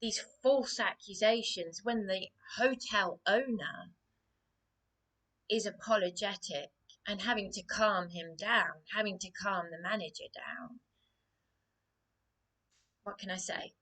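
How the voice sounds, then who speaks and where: A woman vlogging alone, hoarse and losing her voice, speaking hesitantly and haltingly with many restarts, often dropping to a whisper. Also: medium pitch, pushed and bright. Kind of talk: personal vlog monologue.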